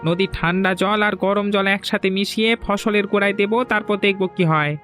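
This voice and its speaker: A man's voice: high-pitched, fairly even intonation, a little fast, bright, forceful tone, soft background music. An actor playing a troubled but practical male villager in a fairy-tale cartoon, earnestly reasoning things out.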